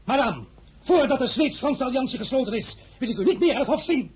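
An actor playing an imperious, boastful French emperor in a historical radio drama, pompous and declamatory, by turns mocking and threatening. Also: male voice, extremely high pitch, animated, fairly quick, slightly rough, heavily acted. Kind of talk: Dutch-language historical radio drama acting.

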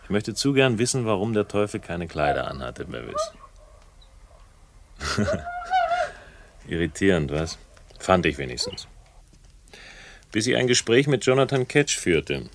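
An actor playing a brash, sardonic, excitable investigator in radio play dialogue, animated and provocative. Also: male voice, on the low side, unhurried, long pauses, a little hiss or hum. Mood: mocking, taunting.

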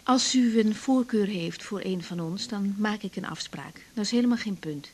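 A woman's voice: mid-range voice, moderate pace, husky, close to an everyday voice. An actress playing a composed, direct young woman doctor in a naturalistic radio drama. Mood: brisk, professional. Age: young adult.

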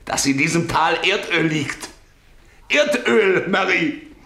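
An actor in a German radio drama playing a younger rancher, urgent, commanding and greedy, exclaiming in short bursts. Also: male voice, on the high side, expressive, slow pace, sharp tone, reverberant, full character voice. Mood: excited, greedy. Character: younger, excitable, greedy rancher who struck oil.

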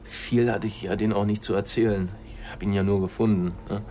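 An actor playing an ordinary angler giving a rambling, hesitant, colloquial witness account in a radio crime drama. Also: male voice, on the low side, fairly even intonation, a little fast, mellow, natural voice. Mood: casual, rambling. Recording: clearly noisy ambient background.

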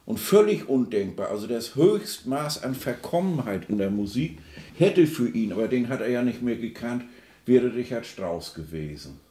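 A man's voice: medium pitch, expressive, moderate pace, warm tone, reverberant. A man giving spontaneous, discursive explanations as a music expert, searching for words and often seeking agreement.

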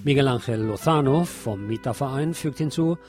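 A man presenting a scripted news magazine on the radio as narrator, with a husky voice. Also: medium pitch, normal speed, over music.

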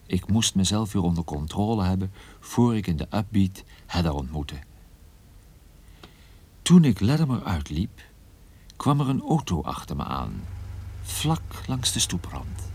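A man playing a troubled, wry and guarded private detective in a radio play, with a husky voice and a dry, hesitant manner. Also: sits low, very animated, unhurried, darkish tone, exaggerated voice. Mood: tense narration. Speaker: lead character and narrator. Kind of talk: radio play narration and dialogue.

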